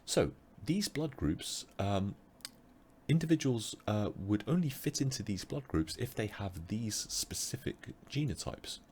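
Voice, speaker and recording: A man teaching an online biology revision webinar, explaining step by step with frequent pauses, his voice a little strained as he loses it. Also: fairly low pitch, animated, a little mellow, a little hiss or hum. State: losing his voice. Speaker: biology tutor.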